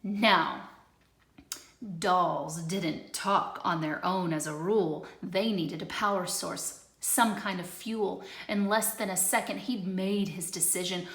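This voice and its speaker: A woman teacher reading a rhyming picture book aloud, expressive, moving between loud lines and hushed ones. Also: fairly low pitch, takes it slowly, creaky. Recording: roomy echo.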